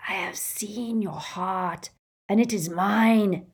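A woman voicing an evil male spirit trapped in a locket, talking in a slow, cruel, hissing whisper. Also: mid-range voice, breathy edge. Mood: menacing.

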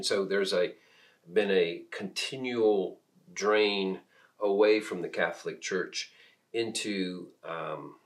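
A middle-aged man from Peru who is a minister, talking in an unscripted discussion in a reflective, halting way as he searches for words. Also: low-pitched, fairly bright tone.

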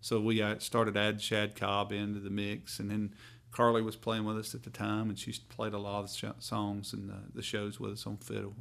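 A man with a North Carolina drawl speaks low and gravelly, his voice staying flat and even. He tells his stories in a loose, folksy, rambling way, as if chatting in a quiet hotel room. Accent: Southern US, North Carolina. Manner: rambling, folksy, drawn-out phrasing. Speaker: bluegrass musician guest. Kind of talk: casual storytelling interview.

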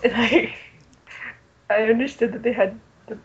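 A woman's voice: a little high, fairly even intonation, a little slow. A woman co-hosting a podcast in casual fan chat, talking in an enthusiastic, slangy, giggly way. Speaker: podcast co-host. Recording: muffled lo-fi audio with background noise.

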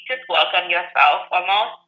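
A woman's voice: lowish voice, expressive, normal speed, pushed and bright, reverberant, dull audio. A woman of about thirty from Vietnam, chatting casually and cheerfully as a guest. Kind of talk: casual chat.

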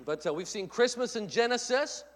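Congested pastor preaching in a reverberant church. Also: male voice, extremely high pitch, expressive, normal speed, creaky. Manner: friendly and conversational. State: congested, recovering from a cold. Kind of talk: sermon with announcements. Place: church sanctuary. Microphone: pulpit microphone. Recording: long church-hall reverb.

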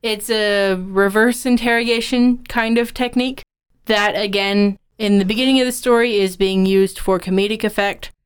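A woman's voice: medium pitch, fairly even intonation, normal speed, smooth. A woman co-hosting a podcast, discussing and explaining in a conversational style. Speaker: podcast co-host.

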